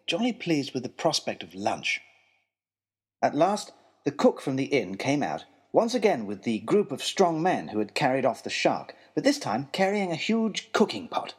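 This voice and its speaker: Husky, animated gentleman adventurer played by a man. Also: mid-range voice. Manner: wry, expressive, formal old-fashioned storytelling. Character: earnest, wry young gentleman adventurer writing letters. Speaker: narrator reading his character's letters. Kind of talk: scripted comic adventure storytelling.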